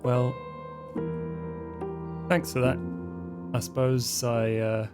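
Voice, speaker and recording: A man's voice: mid-range voice, flat delivery, slow, music in the background, natural voice. A man playing a casual young fledgling vampire, slangy and offhand, with hesitant phrasing. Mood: sincere, uneasy.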